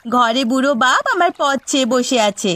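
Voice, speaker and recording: A woman voicing a defiant, teasing young village girl in a cartoon, quick to retort. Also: high voice, a bit bright. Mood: saucy. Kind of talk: Bengali cartoon dialogue.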